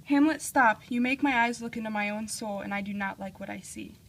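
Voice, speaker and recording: Anxious queen mother for a puppet play, female performer, distressed, fairly high voice. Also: fairly quick, slight background noise, lightly acted, poor audio. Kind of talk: amateur puppet-show dramatic reading.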